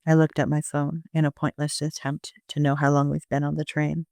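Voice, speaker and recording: A man's voice: on the high side, monotone, fairly quick, creaky, a little mellow, no room sound, clean studio sound. A man narrating a first-person audio drama as an amateur reader, in an understated storytelling manner.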